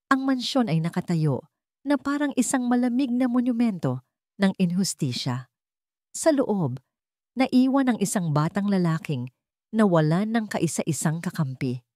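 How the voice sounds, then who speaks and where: A woman narrates a dramatic scripted story. Her voice sits a little low for a woman. The recording is very dry, with no audible room around her. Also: warm tone, no echo.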